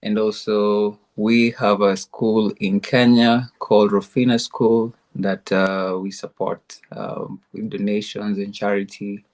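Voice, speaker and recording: Man, podcast host, flat delivery, hesitant, many fillers. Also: lowish voice, slow pace, few pauses. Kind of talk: podcast interview.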